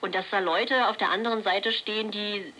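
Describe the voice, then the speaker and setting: Quick-talking woman on shortwave radio. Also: mid-range voice, fairly even intonation, pushed and bright, a little hiss or hum, rough recording. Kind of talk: casual radio talk. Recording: shortwave radio broadcast picked up on portable receiver.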